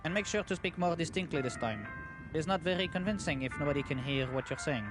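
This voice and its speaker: A man voicing a pompous, irritable gentleman in a game, haughty and suspicious. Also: fairly high pitch, fast talker, close to an everyday voice. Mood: haughty, annoyed. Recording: music behind the voice.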